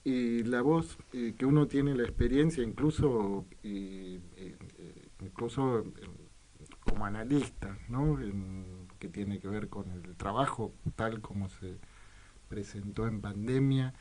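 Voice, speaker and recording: A man hosting a reflective radio conversation, with halting, thoughtful phrasing. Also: lowish voice, mellow, a little hiss or hum. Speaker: radio host.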